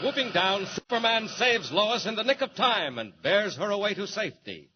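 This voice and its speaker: Animated, dramatic radio announcer. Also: male voice, sits high, moderate pace. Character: excited, dramatic male serial announcer. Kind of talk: 1940s radio narration. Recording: muffled noisy 1940s radio recording.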